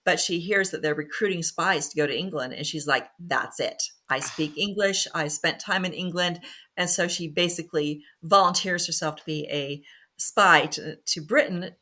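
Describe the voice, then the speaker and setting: Bright-voiced woman author, chatty. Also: lowish voice, moderate pace. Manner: thoughtful, many fillers and restarts, laughs easily. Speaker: author guest, former pharmacist. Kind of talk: casual interview talk.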